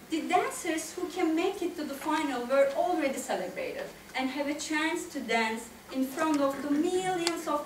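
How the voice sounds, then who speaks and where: A woman in her thirties with a Turkish accent giving an expressive, prepared storytelling speech to listeners. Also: high-pitched, recorded from a distance. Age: 30s. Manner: expressive, addresses listeners directly. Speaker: club speaker, tango dancer. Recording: echoey room from afar, faint noise.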